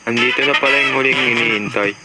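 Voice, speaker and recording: A man's voice: medium pitch, fairly even intonation, quick pace, natural voice, rough recording. A young Filipino man playing an inquisitive former classmate in an amateur motion comic. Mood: nosy. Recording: loud music over the voice.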